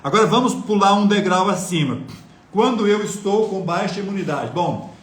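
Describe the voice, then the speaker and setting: A man's voice: high-pitched, expressive, normal speed, fairly bright tone, roomy sound, slight background noise. A man giving a live-streamed health talk, lecturing viewers earnestly and asking them rhetorical questions. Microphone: phone on mobile data.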